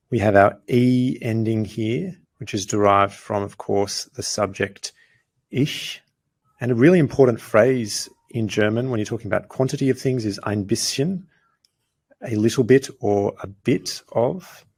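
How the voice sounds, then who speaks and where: Man, language teacher, low voice, gravelly, patient grammar explanation. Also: darkish tone. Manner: patient, clear, slows down for foreign phrases. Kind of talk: explaining grammar with example sentences.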